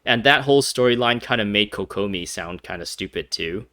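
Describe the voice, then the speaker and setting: A man's voice: fairly low pitch, lively intonation, brisk, pushed and bright, no echo, clean studio sound. A man giving casual gameplay commentary, rambling with many fillers and restarts. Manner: rambling, hesitant, frequent fillers and restarts, laughs often. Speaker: gaming commentator.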